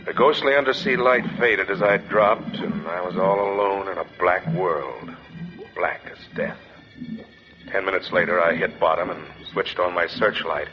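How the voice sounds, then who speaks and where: An actor playing a tough, cool-headed salvage diver in laconic 1940s radio drama style. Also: male voice, low-pitched, moderate pace, soft background music, lightly acted. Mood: brooding narration. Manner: laconic, hard-boiled. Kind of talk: 1940s radio acting, first-person narration and dialogue. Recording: muffled, very noisy 1940s radio recording.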